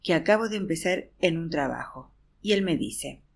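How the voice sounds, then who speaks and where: A woman's voice: low-pitched, lively intonation, normal speed, creaky, a little hiss or hum. A woman narrating an audiobook in the first person, acting out a weary teacher's chatter to a class. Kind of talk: expressive audiobook reading.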